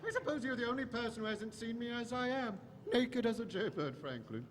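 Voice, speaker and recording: Man playing a theatrical, gloating alien villain, high-pitched. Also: expressive, brisk, background noise. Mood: gleeful menace. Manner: theatrical, droll, mock-polite. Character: flamboyant, gloating monstrous alien disguised as a professor. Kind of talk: live stage audio drama. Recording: echoey hall stage recording.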